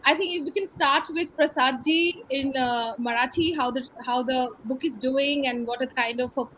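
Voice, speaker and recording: High-pitched Indian woman hosting online. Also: normal speed, a bit bright, a little hiss or hum, rough recording. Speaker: host. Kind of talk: hosting an online launch event. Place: remote video call.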